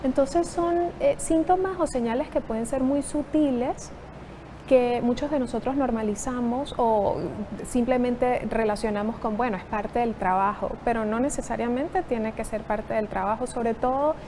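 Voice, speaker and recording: A woman in her thirties from Venezuela, a psychotherapist, answering interview questions in a reflective, measured way. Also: on the high side, mellow, a little hiss or hum, low-quality recording. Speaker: psychotherapist guest.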